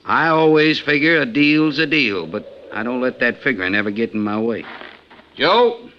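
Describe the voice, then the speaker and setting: An actor playing a wary, bossy outlaw gang leader in an old radio western, with a folksy, menacingly polite frontier manner. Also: male voice, medium pitch, animated, normal speed, close to an everyday voice. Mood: threatening. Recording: dull, noisy 1950s radio recording.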